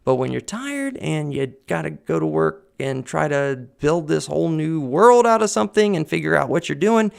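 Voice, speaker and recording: A man speaks into a desk mic at home, loud and earnest, rambling through fillers and restarts. His voice is gravelly yet resonant and sits a little high for a man. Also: very animated, normal speed, hardly any pauses. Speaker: vlogger. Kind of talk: casual personal talk to camera. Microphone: desk condenser mic on a boom arm.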